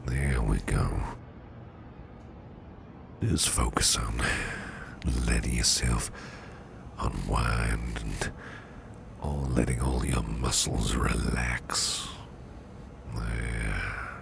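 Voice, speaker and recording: A caring businessman boss, acted by a man for an ASMR roleplay, speaks in an extremely deep, heavily creaky murmur that often slips into whisper. He is gentle, protective and reassuring, taking his words slowly. Clear background noise sits behind the voice. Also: exaggerated voice. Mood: soothing, tender. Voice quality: breathy near-whisper with gravelly fry. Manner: tender, slow, reassuring murmur. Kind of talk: ASMR roleplay, soothing personal attention.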